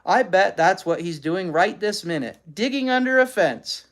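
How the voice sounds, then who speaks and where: A storybook reader as an earnest, stubborn boy, lifted pitch. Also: animated, moderate pace, close to an everyday voice. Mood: hopeful, insistent. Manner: pleading, insistent. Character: earnest, stubborn boy missing his lost dog.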